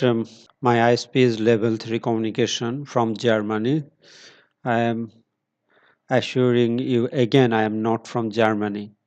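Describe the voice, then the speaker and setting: Slow, steady man walking through a tutorial. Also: mid-range voice. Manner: slow, step-by-step instructions with pauses and fillers. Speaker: tutorial presenter. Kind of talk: screen-recorded tech tutorial.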